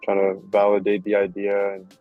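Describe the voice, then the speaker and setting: A man's voice: on the low side, monotone, moderate pace, phone-quality sound, faint music behind. A man from South Africa hosting an online startup meetup, upbeat and fond of saying how cool things are. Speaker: meetup host.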